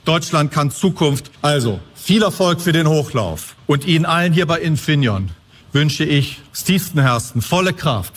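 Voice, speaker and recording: A man in government giving a formal celebratory speech, emphatic and rhetorical. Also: fairly high pitch. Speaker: government minister.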